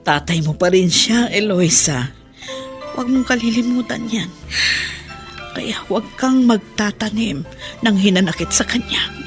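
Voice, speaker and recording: A woman's voice: medium pitch, unhurried, pauses often, close to an everyday voice. A woman playing a weary, forgiving, worried mother in Filipino radio drama, sighing and emotional. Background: music under the voice.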